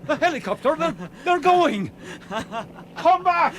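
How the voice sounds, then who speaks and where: Radio drama villain: greedy, coaxing tomb robber, very animated delivery over clear background noise. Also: male voice, extremely high pitch, a little slow, full character voice. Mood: urgent, excited. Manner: cajoling, dismissive, manipulative. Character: scheming, persuasive tomb-robbing man, ruthless and greedy.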